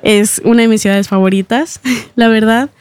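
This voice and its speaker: A woman's voice: fairly high pitch, fairly even intonation, normal speed, a little mellow, clean tone, dry recording. A young woman from Ecuador who grew up in Spain, talking casually and chattily as a guest in a radio interview. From born in Ecuador, grew up in Spain from age eight. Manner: chatty, giggles often while talking. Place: radio studio, dry close sound.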